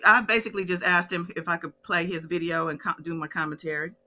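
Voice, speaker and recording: A woman's voice: lowish voice, steady tone, fast, sharp tone. A woman hosting a casual online commentary show, chatting conversationally and addressing her audience directly. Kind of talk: casual livestream chat.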